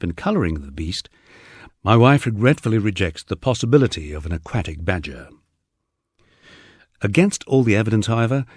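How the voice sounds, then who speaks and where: A man with a husky voice reading a wry first-person memoir as scripted audiobook narration, dryly humorous and wordy. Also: fairly low pitch, a little mellow, no room sound, studio quality.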